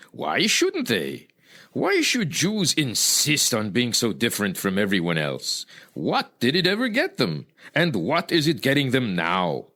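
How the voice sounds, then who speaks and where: A man playing a smug, pragmatic high priest with dismissive, deliberate delivery in old-time radio style. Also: a bit bright, full character voice.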